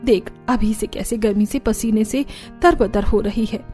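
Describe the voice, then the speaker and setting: A woman voicing a gentle, concerned middle-aged village mother-in-law in a cartoon story, in a fretful, motherly manner. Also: mid-range voice, a little slow, faint music behind, close to an everyday voice.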